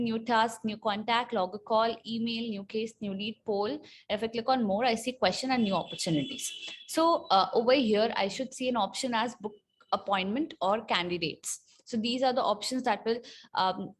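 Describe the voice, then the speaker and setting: A woman presenting an unscripted software tutorial, explaining step by step in a somewhat halting, hesitant way. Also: on the high side, poor audio. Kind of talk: unscripted screen-share walkthrough.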